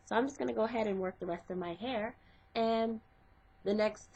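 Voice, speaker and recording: A woman's voice: a little high, brisk, darkish tone. A middle-aged woman and hairstylist, congested from a cold, talking step by step through a casual hair tutorial. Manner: step-by-step, conversational, with fillers. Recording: dull lo-fi home recording.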